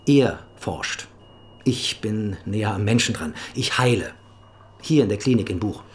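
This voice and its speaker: An actor playing a courteous but guarded clinic doctor in a radio drama, measured and careful. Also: male voice, fairly low pitch, expressive, a little hiss or hum. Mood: self-assured.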